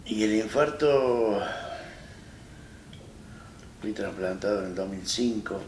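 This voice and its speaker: A man in his fifties with an Argentine accent, telling his own story in a plain-spoken, halting way as an interview guest. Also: on the low side, a little mellow. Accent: Argentine Spanish. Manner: plain-spoken, halting and retelling with many restarts. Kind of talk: personal story in a studio interview. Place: small studio set, seated in armchair. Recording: roomy echo, distant mic, faint hiss.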